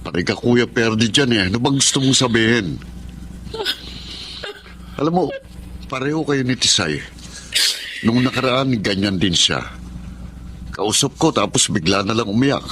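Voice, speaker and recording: An actor playing a concerned, plain-speaking older brother who gives advice in a radio drama. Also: male voice, mid-range voice, lively intonation, measured pace, long pauses, fairly bright tone, a little hiss or hum. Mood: concerned, firm. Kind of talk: Tagalog radio drama acting.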